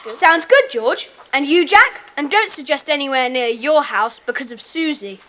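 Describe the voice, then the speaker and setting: A woman playing a bossy, brisk schoolboy club leader in a children's audio drama. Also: sits high, lively intonation, pushed and bright. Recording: faintly noisy cassette tape.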